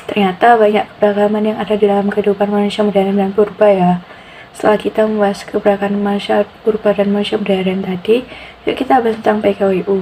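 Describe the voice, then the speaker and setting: A young Indonesian-accented woman hosts a student podcast, reading scripted lessons in a soft, warm tone. There is faint noise behind her voice. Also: mid-range voice, rough recording. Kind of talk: scripted educational podcast narration.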